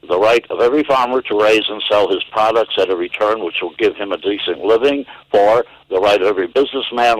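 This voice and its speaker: A man calling in to a radio show and reading a numbered list aloud in a steady, measured way. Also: lowish voice, no echo, rough recording. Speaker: caller.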